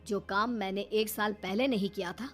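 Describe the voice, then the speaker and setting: A woman's voice: medium pitch, steady tone, normal speed, a bit bright, faint music behind, lightly acted. A woman playing a fed-up young husband in a cartoon story, stern and reproachful. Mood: resolute. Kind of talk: animated Hindi story acting.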